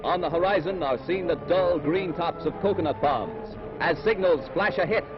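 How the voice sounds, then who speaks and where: High-pitched American 1940s newsreel man. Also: moderate pace. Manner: dramatic, emphatic announcer delivery. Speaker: newsreel narrator. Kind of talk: 1940s newsreel narration, scripted. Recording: muffled 1940s newsreel film soundtrack. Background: loud music, battle sound effects.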